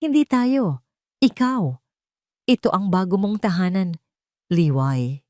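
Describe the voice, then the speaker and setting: Woman doing rich guy, calm n serious, protective but controlling, audio drama, dry sound. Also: mid-range voice, slow pace, no room sound. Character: wealthy, serious, protective man, smoothly controlling.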